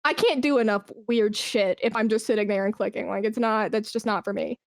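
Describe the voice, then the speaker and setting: A woman speaking as a guest in a casual podcast interview, animated and self-deprecating, with slangy phrasing. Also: medium pitch, brisk, no room sound, studio quality. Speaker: podcast guest, esports brand manager.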